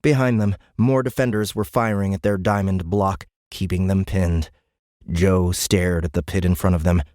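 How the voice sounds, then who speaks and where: A man with a lowish voice reads dramatic science fiction prose in measured, grave phrases. The recording is very dry and close, like a studio booth. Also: fairly even intonation, darkish tone, no echo. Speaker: audiobook narrator. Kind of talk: dramatic scripted narration of military science fiction.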